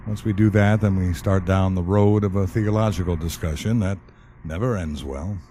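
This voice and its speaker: An actor in an audio play as a calm, wry government specialist, speaking in a measured, matter-of-fact and dryly polite way. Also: male voice, sits low, slight background noise, lightly acted. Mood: patient explaining. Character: calm, wry male government specialist who questions the dead. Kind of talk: audio drama acting.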